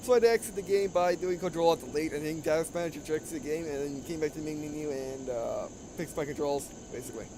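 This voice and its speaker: A man giving casual gameplay commentary, halting and often stumbling over and repeating his phrases. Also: fairly high pitch, noisy background. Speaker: gaming video host. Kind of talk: casual gameplay commentary with read-aloud dialogue.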